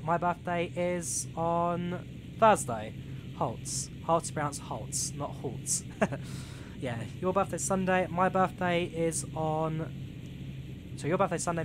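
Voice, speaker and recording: A young man of about twenty from England, chatting casually with his live-stream viewers while he plays. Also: on the high side. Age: young adult, turning 20. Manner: rambling and chatty, reads chat aloud with many fillers. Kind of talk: casual live-stream chat while playing a train simulator. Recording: game train noise clearly behind the voice. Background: train simulator engine and rail sounds.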